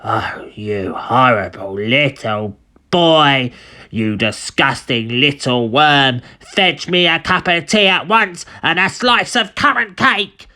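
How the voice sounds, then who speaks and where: Storybook grandmother, acted by a narrator: old, cranky, imperious, slow and forceful scolding with big pitch swings. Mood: furious, scolding. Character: cranky bossy old grandmother, insulting and demanding.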